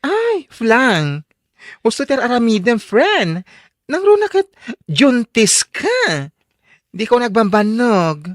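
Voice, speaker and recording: An actress playing a chatty, sympathetic young friend in a radio drama, expressive and given to drawn-out exclamations. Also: female voice, a little high, no room sound, clean studio sound, exaggerated voice. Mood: concerned.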